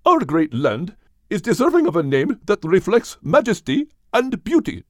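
Snooty turkey, high voice, extremely animated pitch, smug, pompous, storybook animal character. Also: male voice, moderate pace, no room sound, studio quality. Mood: grandly boastful. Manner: pompous, superior. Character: haughty, smug turkey couple, pompous bird.

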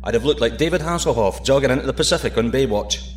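Guy playing a jokey british astronaut, quick talker, sci-fi audio drama banter, music behind. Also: medium pitch, animated, natural voice. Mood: dry, joking. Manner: joking, banter. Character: wisecracking, nervy male British astronaut.

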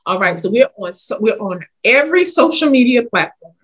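Woman, 40s, ministry leader guest, candid and lively, folksy chat. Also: a little high, normal speed, rough recording. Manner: lively, talks straight from the heart, calls listener honey. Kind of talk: casual interview chat.

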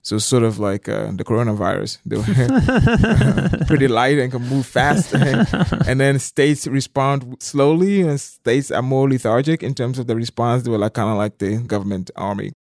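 A man's voice: mid-range voice, animated, moderate pace, few pauses, a little mellow, no echo, clean studio sound. A middle-aged man from Liberia, explaining things conversationally as an interview guest. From southeastern Liberia.

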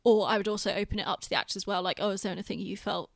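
A woman's voice: mid-range voice, steady tone, rapid-fire, no echo, studio quality. A British woman chatting casually on a podcast in an informal, self-deprecating way. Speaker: podcast host and theatre director.

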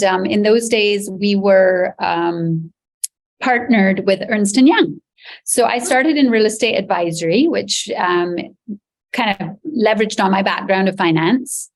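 A woman in her forties speaks on a video call from a beachfront apartment. She talks in a loose, chatty way and fills her pauses with ums. Her pitch sits in an ordinary middle range for a woman. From Barbados. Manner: chatty, warm enthusiasm with frequent ums. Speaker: real estate agent. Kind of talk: casual interview answers.

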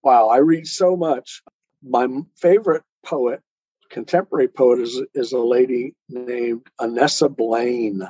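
A man's voice: mid-range voice, fairly even intonation, unhurried, mellow, husky, no echo. A man answering as an interview guest in a conversational, earnest and explanatory way, on a lo-fi recording. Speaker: interview guest, poet and author. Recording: dull lo-fi sound.

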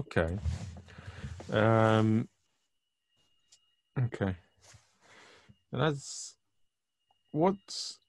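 A man hosting a podcast, asking casual interview questions in a halting way and often rephrasing them. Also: fairly low pitch, a little mellow, dry recording. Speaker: podcast host.

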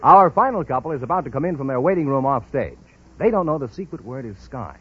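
A dull vintage radio recording of a male announcer. His emphatic voice swings up and down, fairly high and a little husky as he presents. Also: big pitch swings, fast talker. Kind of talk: 1950s-style radio announcing.

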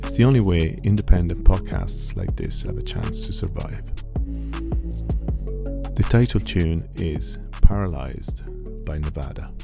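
Deep gravelly male podcast host. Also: very animated, a little slow, over loud music. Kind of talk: scripted intro then casual interview.

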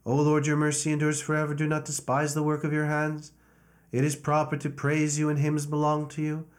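A man, a priest, intoning prayers and a slow, repeated liturgical chant. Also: fairly high pitch, monotone.

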